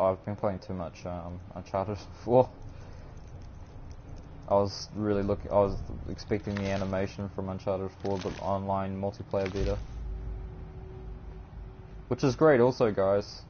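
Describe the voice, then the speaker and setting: A young man with a New Zealand accent talks in a low, easygoing voice as he plays and chats to his live-stream viewers. His manner is relaxed and self-deprecating. The audio is dull and lo-fi, with steady noise underneath. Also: moderate pace. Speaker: game streamer. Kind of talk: casual live-stream commentary.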